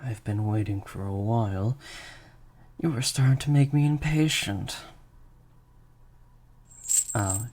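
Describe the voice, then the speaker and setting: A man playing a sadistic vampire master in an improvised audio roleplay, menacing and teasing, in breathy half-whispers to a captive listener. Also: mid-range voice, takes it slowly, long pauses, a little mellow. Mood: stern, threatening.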